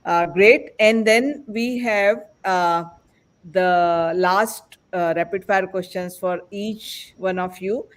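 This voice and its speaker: A man hosting a panel discussion as podcast host, speaking in a halting, rambling way. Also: high voice.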